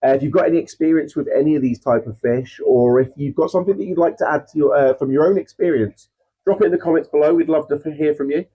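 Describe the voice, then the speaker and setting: A man's voice: a little high, quick pace, highs cut off. A man with a cold, sounding bunged up, rambling casually about his fish with lots of "um"s as the host of a hobby channel. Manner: rambling, filler-heavy, self-deprecating about pronunciation. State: congested with a cold. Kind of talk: casual chatty video talk.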